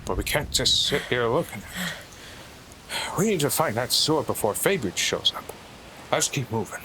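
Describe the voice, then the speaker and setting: A man playing a gruff, wry older treasure hunter with folksy slang in an audio drama. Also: medium pitch, very animated, moderate pace, background noise, lightly acted. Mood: grumbling.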